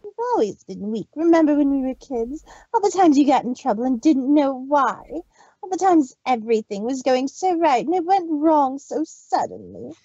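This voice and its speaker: A woman's voice: high voice, big pitch swings, normal speed, warm tone, no echo, dull audio. A woman voicing a cruel, domineering cartoon pony villain, taunting and emphatic, in an amateur fan fiction reading. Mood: gloating, taunting.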